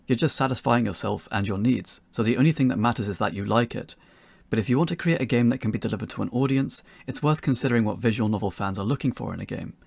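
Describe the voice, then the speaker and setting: A man's voice: mid-range voice, quick pace, gravelly, a little mellow, no room sound. A man co-presenting a scripted, pre-recorded instructional talk as an amateur developer.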